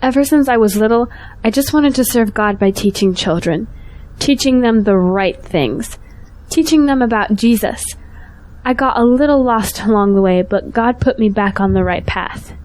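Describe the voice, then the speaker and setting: Devout female schoolteacher, played by a woman, fairly high voice, gentle and sincere, audio drama. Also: normal speed, close to an everyday voice, rough recording. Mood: sincere, humble. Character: devout, kind young schoolteacher eager to help.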